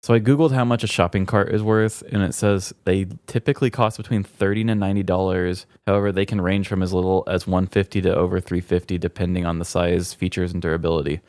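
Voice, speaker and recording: A man's voice: low-pitched, flat delivery, fast, hardly any pauses, darkish tone, no room sound, studio quality. A man co-hosting a comedy podcast in casual, conversational chat. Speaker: podcast co-host.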